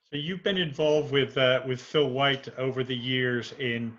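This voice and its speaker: A man hosting an interview over a video call, halting and repeating himself as he frames his questions. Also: mid-range voice, fairly even intonation, fairly bright tone. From Vermont, USA. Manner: halting, repeats words and fills with uh. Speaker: interviewer, guest host. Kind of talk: casual interview. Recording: dull lo-fi call audio.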